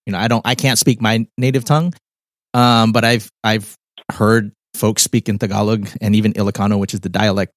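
A man interviewing a guest in a relaxed conversation, thoughtful and hesitant, often restarting his sentences. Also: on the low side, a little fast, dry recording, clean studio sound.

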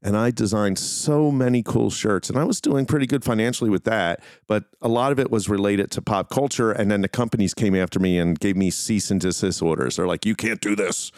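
A man's voice: lowish voice, brisk, hardly any pauses, a little mellow, dry recording, studio quality. A man hosting a podcast, talking casually and informally to his listeners in a rambling, conversational way. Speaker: podcast host. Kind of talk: casual solo talk to listeners.

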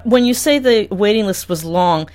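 Podcast host, mid-pitched voice, curious, attentive, interview questions. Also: expressive, moderate pace, a little mellow. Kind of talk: interviewing a guest.

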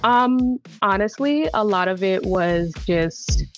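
A woman's voice: medium pitch, expressive, slow, muffled recording, music in the background. A young woman from Brooklyn, a podcast guest chatting casually about her life and laughing often between thoughts. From Brooklyn, New York. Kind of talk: casual interview chat.

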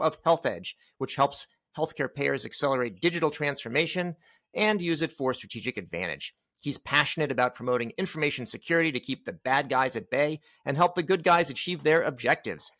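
Bright-voiced male podcast host. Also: fairly high pitch, no echo. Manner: dry joking asides. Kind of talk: interview podcast, scripted intro then casual questions.

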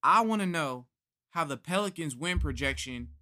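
A man hosting a casual sports chat, talking in an animated, profane way and reacting quickly. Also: on the high side.

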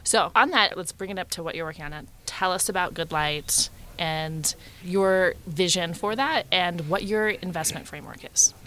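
A woman hosting an interview, asking questions attentively with soft murmurs. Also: lowish voice, moderate pace, few pauses, slight background noise. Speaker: interview host. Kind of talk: conversational interview.

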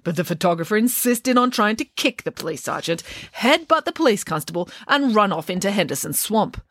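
A woman's voice: medium pitch, big pitch swings, brisk, fairly bright tone, dry recording, studio quality, pitched above the performer's own voice. A woman voicing a bold, mischievous nanny who talks in an indignant, proper way. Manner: indignant, proper, grandly self-assured.

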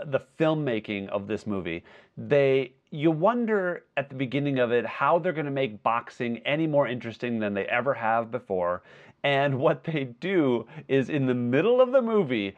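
Man chatting, slightly dull lo-fi sound. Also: medium pitch. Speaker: podcast co-host. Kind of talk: casual film discussion.

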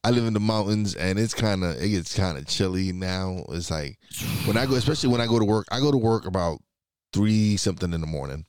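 A man's voice: low voice, animated, a little fast, a little mellow, smooth, no room sound, studio quality. A man from New York chatting casually over a remote call, rambling and restarting his sentences. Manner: rambling, many restarts, laid-back. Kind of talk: casual podcast chat.

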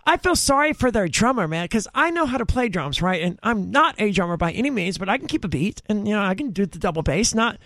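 A man's voice: high voice, sing-song, fast, hardly any pauses, fairly bright tone, no echo. A man hosting a casual podcast chat, talking in rambling, self-correcting bursts with emphatic asides. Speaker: podcast host. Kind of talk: casual chat about metal bands.

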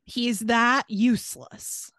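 American woman podcaster, kinda high voice, wry and opinionated, close dry sound. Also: steady tone, takes it slowly, fairly bright tone, no room sound. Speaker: podcast co-host. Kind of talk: casual podcast chat.

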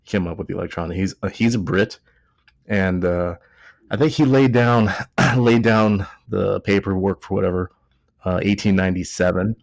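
A man's voice: low voice, moderate pace, creaky, a little mellow, no echo. A man with a Texan accent hosting a podcast, a few beers in, rambling and joking as he corrects himself. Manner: rambling, jokey, self-correcting. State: tipsy from beer. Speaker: podcast host. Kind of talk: casual joking chat.

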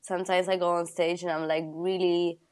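A woman comedian who is a podcast guest, talking in casual chat. She speaks haltingly and laughs often between phrases. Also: lowish voice, flat delivery, a little mellow.

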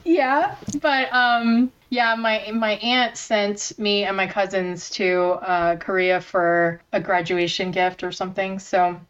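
A woman guest chef talking in a loose, chatty way, piling on filler words as she tells her stories. Her pitch sits at an ordinary middle level. The recording sounds a little dull and lo-fi. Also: fairly even intonation, takes it slowly, hardly any pauses, fairly bright tone, clean tone. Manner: chatty and enthusiastic, many "like" fillers. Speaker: chef and baking instructor, interview guest. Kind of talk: casual conversational storytelling.

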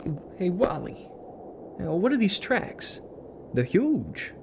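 A man's voice: high-pitched, big pitch swings, slow, creaky, background noise, heavily acted. A man voicing a sardonic, impatient New York City detective in an audio drama, blunt and skeptical. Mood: puzzled.

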